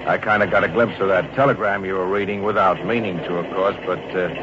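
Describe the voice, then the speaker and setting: A man's voice: low voice, steady tone, close to an everyday voice. Man playing a folksy, kindly middle-aged traveling salesman. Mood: sympathetic, curious. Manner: folksy, avuncular, unhurried, hesitant. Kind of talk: 1940s radio drama acting. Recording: noisy muffled old radio recording.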